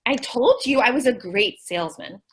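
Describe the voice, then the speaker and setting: A woman's voice: a little high, expressive, normal speed, breathy edge. A woman playing a sweet, naive, scatterbrained young shop clerk in an old-time radio comedy, earnest and guileless in her delivery. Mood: naive, cheerful. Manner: earnest, guileless, literal-minded.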